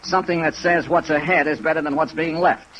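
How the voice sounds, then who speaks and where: An actor playing a hardboiled, probing American insurance investigator in a clipped, wry 1950s radio drama style. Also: male voice, fairly high pitch, brisk, muffled recording, natural voice. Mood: pressing, skeptical. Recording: 1950s radio recording with rain noise. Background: steady rain sounds.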